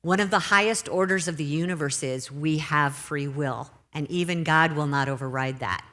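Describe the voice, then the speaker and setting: A middle-aged woman delivers a sermon from a church pulpit, her voice pitched low. She speaks gently and without hurry, drawing out key phrases to let them land. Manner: gentle, unhurried, slows for emphasis. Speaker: minister. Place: church sanctuary.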